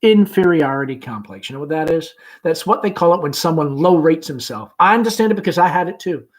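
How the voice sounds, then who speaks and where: A man reading the part of a self-assured, breezy young man in a play, in brisk, declarative phrases. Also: a little high, animated, close to an everyday voice. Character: confident, breezy young man who likes analyzing people. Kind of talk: amateur play read-aloud.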